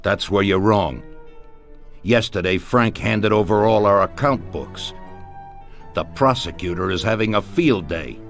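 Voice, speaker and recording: A grave middle-aged mafia don with a low voice. He speaks deliberately, with weighty authority, as in a video game crime drama. Music plays behind him. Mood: grim, serious.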